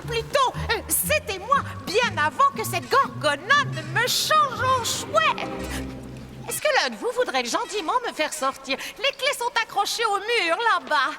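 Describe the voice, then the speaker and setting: A woman voicing an excitable fairy godmother turned scrawny owl in a French-dubbed cartoon, theatrical and exclaiming. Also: very high voice, measured pace, music in the background, exaggerated voice. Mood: frantic pleading.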